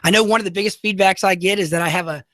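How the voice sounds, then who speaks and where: A man in his thirties with a high, light voice, co-hosting a talk radio show with an energetic, rambling, self-deprecating manner. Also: very fast, no echo, clean studio sound. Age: 30s. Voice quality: high, light voice often taken for a woman's.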